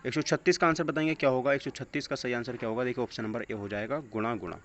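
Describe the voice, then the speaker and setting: A man's voice: mid-range voice, quick pace, fairly bright tone. A man teaching a test-prep lesson, reading out questions and answers in an instructional way and mixing in English phrases. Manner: instructional, mixes English phrases into his speech. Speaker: exam coaching teacher. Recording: dull lo-fi audio with clear background noise.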